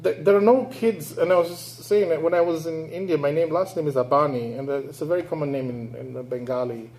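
A man's voice: a little high, steady tone, brisk, darkish tone. A man from Nigeria giving a public talk as a writer, reading his prose and then answering audience questions in a thoughtful, storytelling way. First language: English and Igbo.

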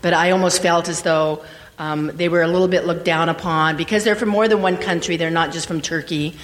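A woman's voice: lowish voice, fairly even intonation, fairly quick. Rambling woman in a reverberant hall. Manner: rambling, hesitant, many fillers. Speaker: trip panelist. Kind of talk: casual group discussion.

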